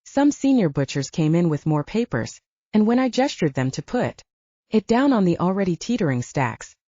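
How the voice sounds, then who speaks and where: A woman narrating a first-person fantasy audiobook in a steady storytelling manner. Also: on the low side, moderate pace, dry recording. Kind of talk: scripted narration. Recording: dull dry lo-fi audio.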